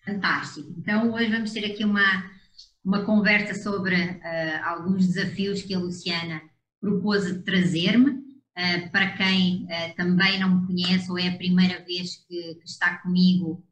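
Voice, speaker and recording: A woman from Portugal with a European Portuguese accent, hosting a conversation show in a measured, assertive way with frequent hesitations. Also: fairly low pitch, fairly even intonation, a bit bright, echoey room, poor audio. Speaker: host.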